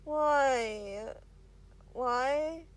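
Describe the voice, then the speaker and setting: A woman's voice: high voice, drawn-out words. A woman voicing a self-doubting, dramatic young male student in a story, with emotional, stammering complaints. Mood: frustrated, guilty.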